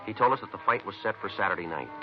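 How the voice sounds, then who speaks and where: A methodical American police detective in a 1950s radio crime drama. His very low voice moves quickly through times and facts, flat in feeling and terse. The old recording is dull, with faint music behind it. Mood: clipped, deadpan. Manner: clipped, matter-of-fact, deadpan. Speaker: narrator and lead detective.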